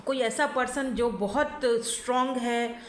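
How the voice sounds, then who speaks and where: A woman with a slightly high voice gives a relaxed tarot reading, hoarse from a cold. She talks in a chatty, easy way and keeps checking in with her listener. The room around her rings with echo. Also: a little slow, a little hiss or hum. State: hoarse from a sore throat and flu. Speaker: tarot reader. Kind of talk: casual pick-a-card reading to camera. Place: indoors at home by a window. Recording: echoey room.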